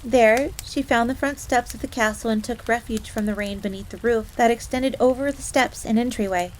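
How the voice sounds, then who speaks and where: A woman's voice: a little high, moderate pace, close to an everyday voice, rough recording. A woman playing a proud, easily flustered fox-girl maid in a roleplay audio drama, speaking formally in the third person with stammers. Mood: wistful, reserved. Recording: steady rain noise throughout. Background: rain ambience.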